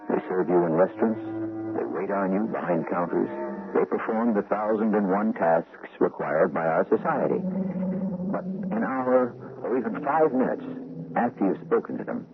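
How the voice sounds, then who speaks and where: Theatrical radio show host, low voice that swoops a lot, tinny old broadcast. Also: male voice, noisy background. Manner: theatrical and musing. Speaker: host and narrator. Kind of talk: radio drama host narration.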